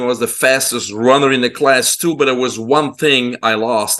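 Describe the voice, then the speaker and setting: A man in his fifties with a Belgian accent speaks as an interview guest. He tells his background in a relaxed, storytelling way, his pitch sitting in the middle range. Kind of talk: casual interview.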